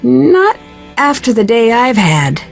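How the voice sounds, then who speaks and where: An actress playing a polite, inquisitive young woman detective in a video game, calm and direct. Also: on the high side, slow, vocal fry, faint music behind, heavily acted. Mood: probing. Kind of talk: video game character dialogue.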